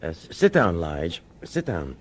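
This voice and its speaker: An actor playing a nervous police commissioner in a radio drama, hesitant and probing, with uneasy authority. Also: male voice, sits low, huge pitch swings, unhurried, a little hiss or hum, full character voice.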